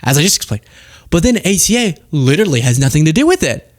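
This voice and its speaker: A man hosting a political show, talking off the cuff in an animated, sarcastic and self-mocking way. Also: on the high side, fast talker. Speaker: political commentary show host. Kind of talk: casual off-the-cuff political commentary.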